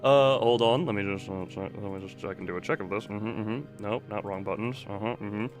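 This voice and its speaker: A man's voice: lowish voice, brisk, faint music behind. A man live-streaming a game, chatting casually to viewers, muttering asides and reading dialogue aloud.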